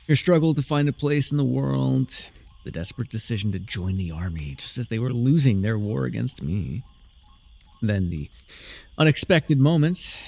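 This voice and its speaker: A man performs a young dark lord in a fantasy ASMR roleplay, smug and urbane. His voice sits a little low with a gravelly fry, and it swings expressively through theatrical, teasing mockery. The delivery is dry and drawling, that of a villain who enjoys toying with a rival. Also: full character voice. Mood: smug, knowing.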